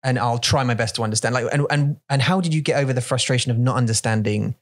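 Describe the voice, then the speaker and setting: A man's voice: mid-range voice, quick pace. A man in his thirties hosting a casual podcast chat, chatty and questioning, laughing easily, on a studio mic. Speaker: podcast host. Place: home studio, indoors. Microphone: studio mic on boom arm, headphones.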